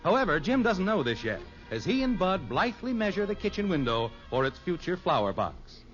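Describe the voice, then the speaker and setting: Male sitcom announcer, arch scripted narration, highish and animated, muffled vintage radio. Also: fairly quick, faint music behind. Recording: muffled old radio recording.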